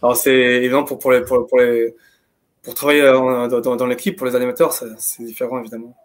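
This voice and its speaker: Male animation worker, quick, eager, casual. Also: medium pitch, level tone. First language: French. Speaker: animation professional. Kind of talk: casual panel chat.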